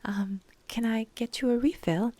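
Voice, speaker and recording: A woman's voice: fairly high pitch, normal speed, dark tone, no echo, voice pushed up, lightly acted. A woman voicing a nervous, fretful woman baker who stammers and worries.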